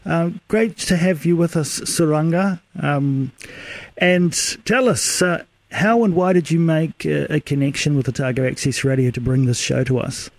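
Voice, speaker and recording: A man hosting a community radio interview, asking friendly, measured questions. His voice sits a little high for a man. The sound is dry and close, with no room echo. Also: gravelly.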